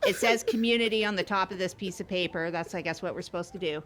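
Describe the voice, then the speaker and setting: A woman's voice: fairly low pitch, quick pace, lightly acted. A woman playing a chatty, eager but unsure trial co-host on an improvised comedy podcast, rambling and full of questions. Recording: noticeable background noise, lobby ambience.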